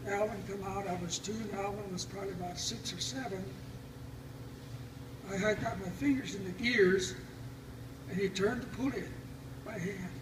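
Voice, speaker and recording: An older man telling family stories informally, in a folksy, halting, reminiscing way. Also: high-pitched, fairly even intonation. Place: family gathering, recorded from a distance. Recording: roomy, distant mic, loud background noise.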